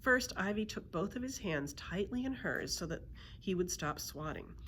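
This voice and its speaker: A woman narrating a children's book aloud as a storyteller, in an expressive read-aloud style. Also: mid-range voice, normal speed, slight background noise. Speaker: librarian storyteller.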